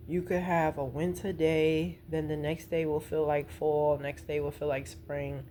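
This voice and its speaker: Low-voiced New woman, noisy background. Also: little pitch movement, unhurried, mellow. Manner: informal, meandering, conversational. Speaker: vlogger. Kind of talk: casual rambling chat. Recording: noticeable background noise.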